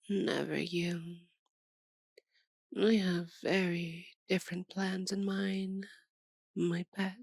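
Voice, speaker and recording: Woman acting as a slow, low-voiced sadistic vampire mistress. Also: vocal fry, a little mellow, no room sound, studio quality, heavily acted. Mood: menacing, possessive. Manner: slow, theatrical, silky and menacing, often dropping to a whisper. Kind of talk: ASMR roleplay voice acting.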